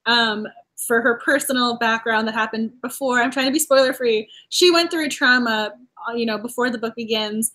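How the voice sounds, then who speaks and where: A woman from the Boston area hosting a casual book chat over a video call, talking quickly through thoughts with frequent laughs and restarts. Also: a little high, bright, forceful tone, low-quality recording. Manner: chatty, laughs often, restarts while avoiding spoilers. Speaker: host.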